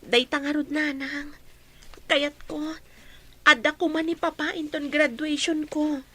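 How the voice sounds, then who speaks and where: A woman's voice: sits high, little pitch movement, a little slow, bright, forceful tone. A woman voicing an eager, anxious young woman about to graduate, in a pleading manner. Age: young adult.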